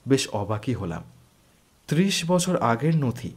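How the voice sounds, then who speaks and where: Man, middle-pitched voice, dramatic story narration, scripted delivery. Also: sing-song, a little slow, soft background music.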